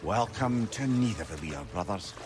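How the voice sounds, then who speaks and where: A man's voice: on the low side, lively intonation, moderate pace, noisy background, close to an everyday voice. A man playing a wry, talkative sage head. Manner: wry, learned and chatty. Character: disembodied head of a wise old male sage. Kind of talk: video game cutscene acting. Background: game score music.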